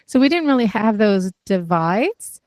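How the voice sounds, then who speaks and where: A woman from the United States, a podcast guest, answering in a thoughtful, measured way with small fillers. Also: mid-range voice, big pitch swings, darkish tone, no echo, studio quality. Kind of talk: conversational interview.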